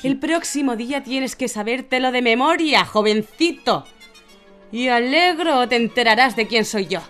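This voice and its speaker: An actress playing a strict, scornful, short-tempered piano teacher who scolds and commands in a radio drama. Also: female voice, on the high side, expressive, normal speed, a bit bright. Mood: scornful, threatening.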